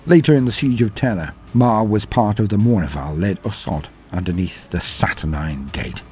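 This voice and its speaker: A man's voice: lowish voice, moderate pace, dark tone. A man delivering scripted lore narration in a grave, dramatic storytelling manner. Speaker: host narrator of a lore channel. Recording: faint music behind. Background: faint music.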